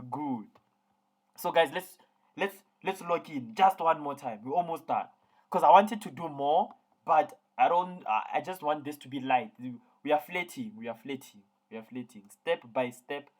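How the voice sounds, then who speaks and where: A man with a South African accent giving an online accounting lecture, playful and jokey, often repeating his words. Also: a little high. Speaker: accounting tutor.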